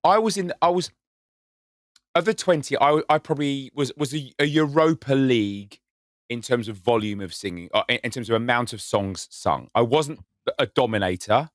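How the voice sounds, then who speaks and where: A man's voice: mid-range voice, normal speed, no echo, clean studio sound. A man co-hosting a casual podcast, telling stories in an enthusiastic, self-mocking way. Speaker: podcast co-host. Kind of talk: casual chat.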